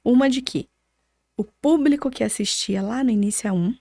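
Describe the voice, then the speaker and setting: A woman's voice: a little high, moderate pace, creaky, mellow, no room sound, studio quality. A woman with a Brazilian Portuguese accent explaining things didactically, with examples, as an interview guest. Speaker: podcast guest, architect.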